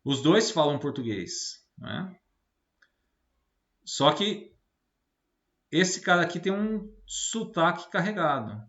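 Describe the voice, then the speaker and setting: A man's voice: fairly high pitch, sing-song, takes it slowly, long pauses, fairly bright tone. A Brazilian man giving an informal technical lecture as a teacher, explaining didactically and often adding short tag questions to check understanding. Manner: didactic, with frequent tag questions seeking agreement. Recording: roomy, slightly muffled lo-fi sound.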